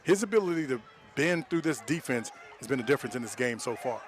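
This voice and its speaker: Quick-talking American male football analyst. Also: mid-range voice, expressive. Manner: conversational, explanatory. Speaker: color analyst, former player. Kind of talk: live football color commentary.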